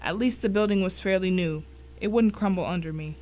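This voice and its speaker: A woman as a mid-pitched, grim thriller narrator. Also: brisk, mellow, lightly acted. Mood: grim, wry. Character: grim, wry thriller narrator recounting a dangerous ordeal. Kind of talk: dramatic first-person scripted narration.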